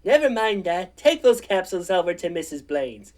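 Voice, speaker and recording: An actor playing a gruff, grieving, short-tempered drugstore boss in a comedic radio play, curt and theatrical. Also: medium pitch, big pitch swings, moderate pace, a little mellow, natural voice. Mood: stern, curt.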